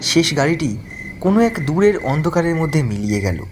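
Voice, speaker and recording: Man narrating a ghost story, lively pitch. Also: brisk, background noise. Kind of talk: scripted first-person horror story narration.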